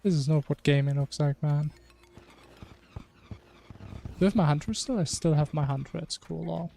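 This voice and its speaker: A man's voice: a little high, normal speed, vocal fry, dark tone, soft background music. A man streaming a video game, chatting casually and musing in a rambling way. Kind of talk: casual chat while gaming.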